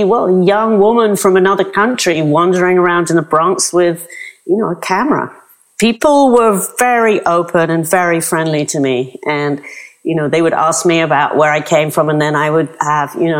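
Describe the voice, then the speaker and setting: A British woman from England, a photographer guest, answering frankly and telling lively anecdotes. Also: fairly low pitch. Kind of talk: interview answers, storytelling.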